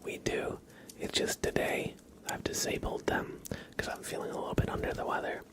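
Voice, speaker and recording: A man hosting an ASMR livestream, speaking in a soft, breathy whisper with a gentle, informal way of chatting to viewers. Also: normal speed. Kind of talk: whispered ASMR with casual chat to live viewers.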